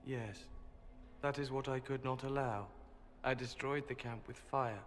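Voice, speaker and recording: Actor as a serene, detached man in deep reverb. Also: steady tone, unhurried, lightly acted. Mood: calm, detached. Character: emotionless, serene dying male servant, quietly loyal. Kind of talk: dramatic video game acting. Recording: long hall reverb, faint noise.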